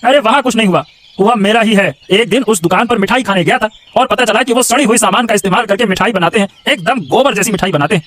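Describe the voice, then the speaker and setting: अभिनेता की आवाज़ में तेज़ बोलता, कुढ़ा हुआ दरबारी आदमी. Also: male voice, high voice, quick pace, fairly bright tone. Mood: aggrieved. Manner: agitated, grumbling. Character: resentful, complaining palace gentleman plotting revenge. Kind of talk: Hindi animated moral story voice acting.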